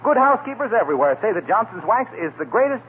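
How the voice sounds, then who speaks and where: An actor playing an eager, persistent, salesmanlike announcer friend in a 1940s radio comedy. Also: male voice, high-pitched, big pitch swings, fast talker. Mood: persuasive. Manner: salesmanlike, emphatic. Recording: tinny, noisy 1940 radio recording.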